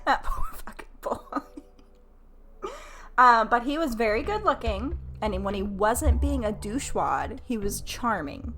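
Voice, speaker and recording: A woman in her late twenties chats to her stream in a mid-range voice, taking her time over each phrase. She is candid and crude-humored, swearing freely and poking fun at herself. Music plays clearly behind her. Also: mid-range voice, fairly bright tone. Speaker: game streamer. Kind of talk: casual storytelling chat with livestream viewers.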